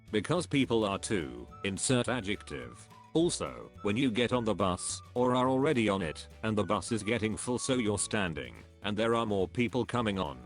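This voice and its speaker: A man's synthetic text-to-speech voice reading written comments aloud as scripted narration, with evenly phrased delivery. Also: fairly low pitch.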